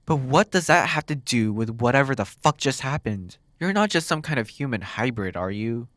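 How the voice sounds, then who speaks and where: A man playing a flirty, sardonic demon captor in an intimate ASMR roleplay, teasing and curious, drawing words out with dramatic pauses. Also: mid-range voice, lively intonation, fairly bright tone, no echo, natural voice. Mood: baffled, probing. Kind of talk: ASMR roleplay, intimate one-sided dialogue.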